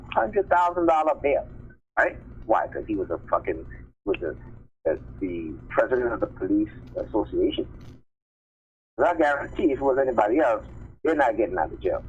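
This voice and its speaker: Man, lowish pitch, opinionated live stream commentary, emphatic delivery, dull lo-fi sound with faint noise. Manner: emphatic, opinionated, often seeks agreement with tag questions. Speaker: live stream call host. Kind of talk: informal commentary on news articles, partly read aloud.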